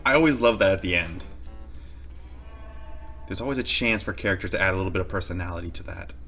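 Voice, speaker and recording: A man hosting a reaction video with casual commentary, explaining himself in wordy, measured phrases. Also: low voice, pushed and bright, soft background music.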